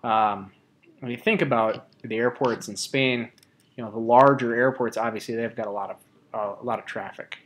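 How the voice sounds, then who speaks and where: Man giving a casual, halting presentation. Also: mid-range voice, steady tone. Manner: casual, colloquial, halting delivery. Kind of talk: informal slide presentation.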